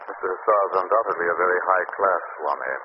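An actor playing a calm, wry gentleman detective who speaks in a measured, dry way, in 1930s radio drama acting. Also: deep voice, lightly acted. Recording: lo-fi noisy old radio recording.

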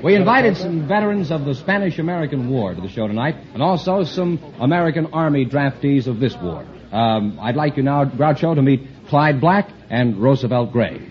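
A man's voice: medium pitch, animated, normal speed, few pauses. A man announcing on a radio quiz show as co-host, presenting scores and guests politely. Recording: dull vintage radio recording with audience. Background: studio audience.

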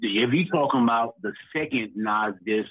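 A male caller argues bluntly, dropping curses as he makes his case. His voice is husky, with a dry sound. It comes through a tinny phone line. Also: mid-range voice, normal speed, no echo. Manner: blunt, argumentative, profane. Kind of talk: casual debate chat.

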